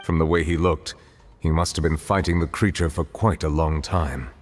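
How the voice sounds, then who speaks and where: A low-voiced, solemn man of high office, formal and measured in every phrase. It is video game drama, with a long reverberant tail and faint noise. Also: fairly even intonation, faint music behind. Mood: somber. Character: solemn, dignified, formal male judge, calm and grave.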